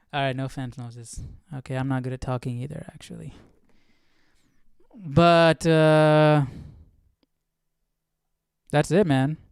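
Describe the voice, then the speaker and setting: A man's voice: on the high side, fairly even intonation, slow pace, pauses often, clean tone, no room sound, clean studio sound. A man hosting a livestream, chatting casually and musing jokily as he reacts to a reading. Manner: informal, musing, jokey. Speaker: livestream host.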